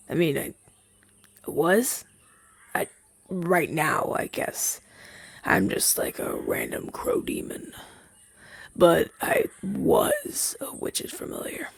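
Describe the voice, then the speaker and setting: A man's voice: fairly high pitch, vocal fry, slightly rough, no echo, heavily acted. A young man playing a chatty, down-on-his-luck crow demon, once a witch's familiar, in a hesitant, stammering roleplay audio drama. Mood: awkward, explaining.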